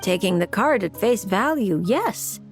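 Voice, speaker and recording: Enigmatic, slow-speaking mage lady. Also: medium pitch, expressive. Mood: cryptic, calm. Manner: theatrical, mysterious, deliberate. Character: enigmatic, composed noblewoman mage reading fortunes. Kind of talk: video game voice acting. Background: music.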